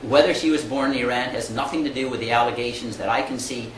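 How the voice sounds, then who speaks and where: A man's voice: mid-range voice, fairly even intonation, quick pace. A man voicing formal legal objections, firm, argumentative and precise. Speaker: opposing attorney. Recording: echoey room with faint background noise.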